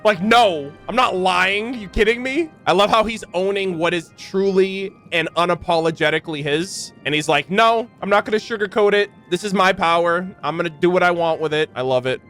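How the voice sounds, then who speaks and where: A man hosting a game reaction video, casual and excitable, with slangy, exclamatory commentary. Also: high voice, moderate pace, hardly any pauses, faint music behind.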